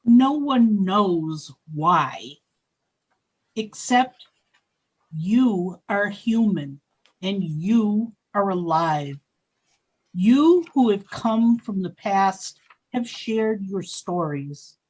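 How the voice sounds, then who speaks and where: A woman playing a very slow, breathy spirit. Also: medium pitch, lively intonation, darkish tone, exaggerated voice, rough recording. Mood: solemn. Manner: very slow, measured. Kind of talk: amateur radio play acting.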